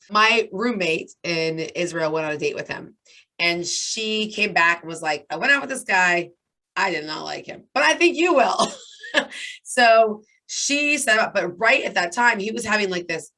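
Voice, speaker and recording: A hoarse woman in her thirties with a raspy voice, chatting as a guest and telling a long story with lots of "like" and "right?". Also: lowish voice, pushed and bright. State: hoarse from talking nonstop. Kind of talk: casual interview chat.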